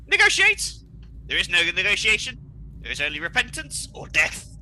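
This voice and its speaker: A man's voice: high-pitched, huge pitch swings, moderate pace, pushed and bright, full character voice, poor audio. A man playing a pompous, gleeful, scheming supervillain in a comedic radio play, mocking and melodramatic. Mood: commanding.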